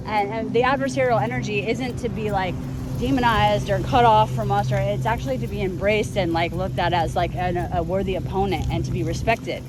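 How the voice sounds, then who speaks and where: A woman's voice: medium pitch, noisy background. A woman in a casual philosophical chat, talking in a rambling way and often given to laughter.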